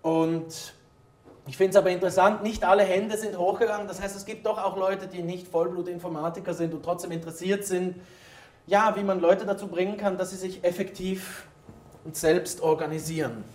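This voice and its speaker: A man's voice: high-pitched, fairly even intonation, fairly quick. A man giving an explanatory conference talk in an informal way, addressing his audience directly as a native German speaker. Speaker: conference speaker, former molecular biologist. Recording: roomy echo, distant microphone in hall.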